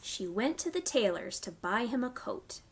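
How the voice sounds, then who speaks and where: A woman hosting a storytime for young children, reading stories and giving simple, clearly phrased instructions. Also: fairly high pitch, sing-song, normal speed, slight background noise. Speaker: children's library storytime host.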